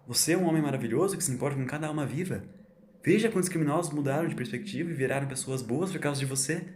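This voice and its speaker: A man voicing a kind young woman companion, earnest and encouraging, a little higher than his own voice. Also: rapid-fire, a little mellow, lightly acted. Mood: reassuring. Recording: roomy echo, faint hiss.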